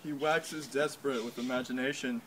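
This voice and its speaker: A man's voice: medium pitch, fairly even intonation, normal speed, a bit bright, close to an everyday voice. Guy playing anxious loyal friend in a school shakespeare play, earnest and urgent, pretty noisy recording. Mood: alarmed. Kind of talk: amateur stage reading of Shakespeare. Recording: clearly noisy amateur recording.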